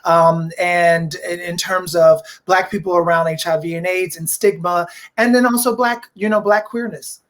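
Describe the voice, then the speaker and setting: A man moderating a conversation, speaking in a halting way and repeating words as he forms his thoughts. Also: sits high, steady tone. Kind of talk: conversational interviewing.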